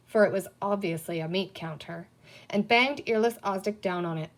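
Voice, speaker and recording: Woman reading a kids book out loud, mid pitched voice, storytime narration. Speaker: audiobook reader.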